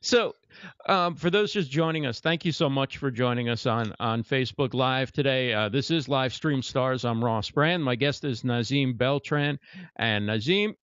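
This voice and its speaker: A man's voice: medium pitch, a bit bright, clear, resonant tone, no room sound, highs cut off. A man hosting a casual interview over a video call, affirming his guest and ending points with "right?", speaking into a studio microphone. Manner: affirming, quick to agree, ends points with "right?". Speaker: host. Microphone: studio microphone with headphones.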